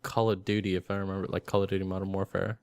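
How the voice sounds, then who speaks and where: Low-voiced young co-host, teasing and profane, dry close sound. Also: male voice, little pitch movement, racing, vocal fry, darkish tone, dry recording. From Ontario, Canada. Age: 20s. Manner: joking, swears, calls people buddy. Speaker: podcast co-host. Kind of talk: casual gaming chat.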